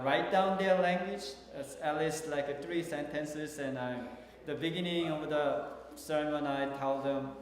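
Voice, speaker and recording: Slow-speaking Korean-accented pastor in a hall. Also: male voice, a little high, a little hiss or hum. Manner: slow, halting, with many fillers and restarts. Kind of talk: sermon. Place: large church hall. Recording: echoey hall from far off.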